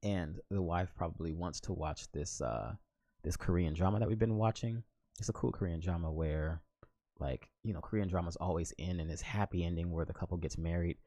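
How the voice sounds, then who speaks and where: An American man in his thirties hosts a podcast alone, his voice low and relaxed. He rambles casually, circling back on his words with "like" and "you know" and slipping into "ain't." The sound is dry and close. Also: fairly quick, dry recording. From United States. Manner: rambling, with many restarts and "like" fillers; informal, drops into "ain't" slang. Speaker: podcast host. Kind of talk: casual solo podcast monologue. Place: home, living in Korea.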